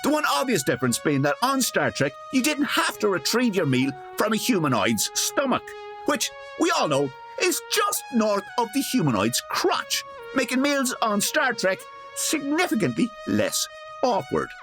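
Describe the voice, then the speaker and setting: A woman's voice: a little high, huge pitch swings, normal speed, fairly bright tone, faint music behind. A woman playing a wry, digressive narrator of a comedic audio drama. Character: wry, chatty radio play narrator.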